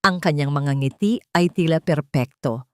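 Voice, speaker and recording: Low-voiced woman narrating a dramatic story. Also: moderate pace, no echo, studio quality.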